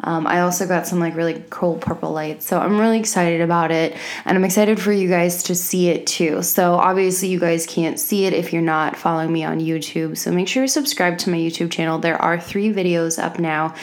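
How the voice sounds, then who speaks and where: A woman's voice: fairly low pitch, fairly even intonation, fairly quick, hardly any pauses. A young woman hosting a podcast in casual chat from her home office, sounding a little sick and congested, and talking with lots of ums and likes. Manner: chatty, many fillers like um and like. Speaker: podcast host.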